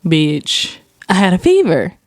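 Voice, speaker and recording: A young woman hosting a podcast, telling stories in an animated, laughing, profane way. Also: fairly low pitch, takes it slowly, no echo, clean studio sound. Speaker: podcast host. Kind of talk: casual chat.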